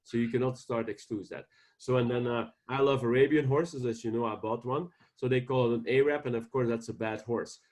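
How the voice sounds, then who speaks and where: A man with a Belgian accent speaks in an interview at a middle pitch. His talk is earnest and halting, full of fillers and restarts as he explains. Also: fairly even intonation, darkish tone. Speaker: guest ranch owner. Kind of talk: casual interview.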